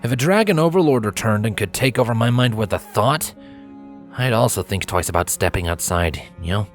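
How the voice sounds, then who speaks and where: A man's voice: on the low side, lively intonation, fairly quick, lightly acted. Man as a sympathetic young dragon sidekick. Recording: music behind the voice.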